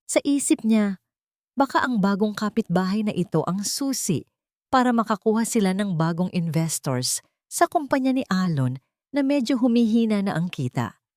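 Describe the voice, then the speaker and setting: A woman's voice: medium pitch, sing-song, normal speed, a little mellow, no echo, studio quality. A woman storyteller reading scripted fiction in a dramatic narration style.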